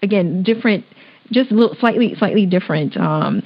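A woman's voice: medium pitch, big pitch swings, brisk. A woman interviewing an author in a casual chat, sharing personal asides. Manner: chatty, personal asides with laughter. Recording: dull lo-fi audio.

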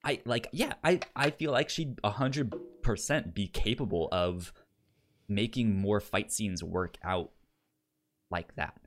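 A man's voice: lowish voice, measured pace. A man co-hosting a podcast in a casual review discussion, stammering and often repeating syllables and words. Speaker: podcast co-host.

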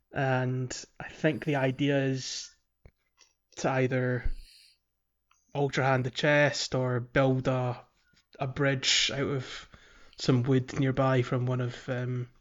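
A man's voice: mid-range voice, highs cut off. A man hosting a casual video game playthrough podcast, explaining things in a hesitant, rambling way. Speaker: podcast host.

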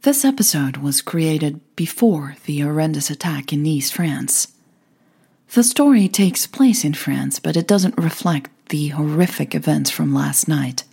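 A woman's voice: low voice, lively intonation, measured pace, gravelly, warm tone, slightly rough, dry recording. A woman hosting a podcast and narrating scripted audio fiction as its creator.

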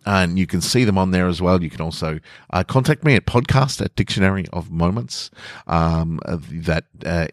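A man's voice: deep voice, dry recording, clean studio sound. A man hosting his own story podcast, talking casually and a little hesitantly to listeners. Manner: hesitant, conversational. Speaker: podcast host and story author.

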